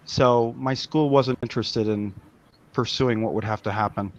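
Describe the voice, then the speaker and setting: A man's voice: mid-range voice, normal speed, highs cut off. A man hosting a casual live video chat, rambling with restarts and firing off questions at his callers.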